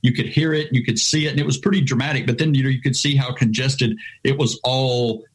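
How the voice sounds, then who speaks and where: A man's voice: medium pitch, fairly even intonation, fairly quick, breathy edge, low-quality recording. An American man, an adventure travel writer, being interviewed on a podcast, rambling with lots of "you know" fillers. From United States.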